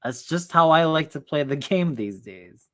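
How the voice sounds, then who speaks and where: A man's voice: fairly high pitch, lively intonation, moderate pace, a bit bright. A young man chats casually as the host of a stream. He rambles and often circles back with fillers before landing on his point. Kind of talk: casual chat.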